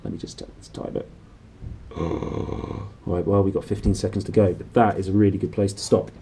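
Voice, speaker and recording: A man in his thirties reading a storybook aloud with expressive, dramatic phrasing. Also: low voice, normal speed, a little hiss or hum. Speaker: book reader and presenter. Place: small indoor room.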